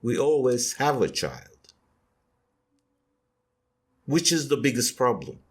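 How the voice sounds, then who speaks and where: A man with a Turkish accent, a guest author in a podcast discussion, speaking slowly with many hesitations. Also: mid-range voice, very animated, husky. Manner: slow, hesitant with many fillers.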